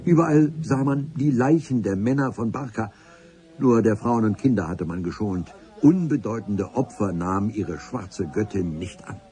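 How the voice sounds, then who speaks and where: Actor as low-voiced grandiloquent chronicler. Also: male voice, mellow, natural voice. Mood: solemn. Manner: solemn, florid, measured literary delivery. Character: grandiloquent male chronicler of an adventure. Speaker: narrator. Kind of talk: radio play narration.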